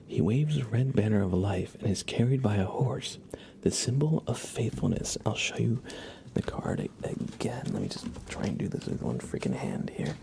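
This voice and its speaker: Low, creaky, half-whispering ASMR man. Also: sing-song, background noise. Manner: playful, gently joking, unhurried. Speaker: ASMR livestream host. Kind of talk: ASMR tarot card reading for viewers.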